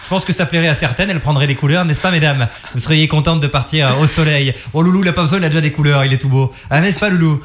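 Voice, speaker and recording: A man's voice: a little high, fast, hardly any pauses, slight background noise. A man hosting a radio interview, chatty and playful, with many fillers and restarts. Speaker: radio host.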